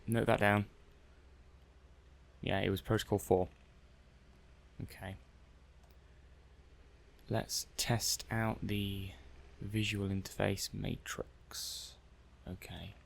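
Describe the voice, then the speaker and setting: A man acting as a methodical robot-testing technician in an ASMR roleplay. His voice is creaky and even in pitch, and he works through things slowly and hesitantly, half muttering to himself in a mild, matter-of-fact way. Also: full character voice. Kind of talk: soft-paced ASMR roleplay monologue.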